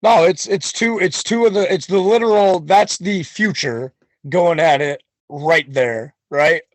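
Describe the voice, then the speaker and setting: A man's voice: high-pitched, unhurried, no room sound. A man talking as a wrestling guest on a podcast, boastful and hyped, full of swagger. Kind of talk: podcast chat.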